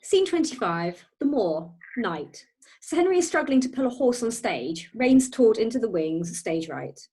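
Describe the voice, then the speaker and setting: Husky woman narrator. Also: a little high, very animated, measured pace, dark tone, poor audio. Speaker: narrator reading stage directions. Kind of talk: scripted narration.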